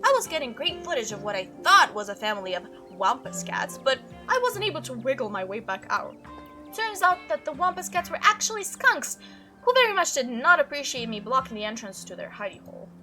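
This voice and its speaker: A woman performs an excitable young female monster hunter from a visual novel. Her voice is high and very lively in pitch. She gushes and chatters through her tales, with music playing behind her. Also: a little fast, heavily acted. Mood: breezy reminiscing. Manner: animated, gushing storytelling. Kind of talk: visual novel character read-aloud.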